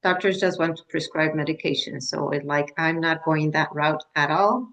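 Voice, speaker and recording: A woman in her fifties from Mexico, a menopause wellness coach, talking on a video call in a halting way, with many restarts and fillers. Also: low-pitched, creaky, a bit bright, low-quality recording. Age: 50s. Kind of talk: casual video-call conversation.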